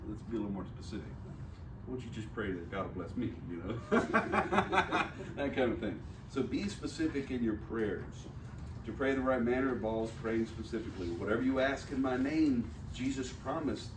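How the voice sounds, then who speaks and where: A man preaching a sermon, teaching in a folksy, joking way and laughing often. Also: medium pitch. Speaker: pastor. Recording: roomy church hall, distant mic, faint hiss.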